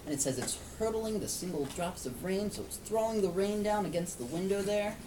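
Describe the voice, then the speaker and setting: A man's voice: sits high, fairly quick. A man reading a picture book aloud to a young child, explaining and asking simple questions as he goes. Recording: distant mic, clear background noise.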